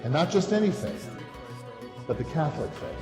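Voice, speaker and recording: A man giving a measured, deliberate formal speech. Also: on the high side, lively intonation, reverberant, long reverb tail, over loud music.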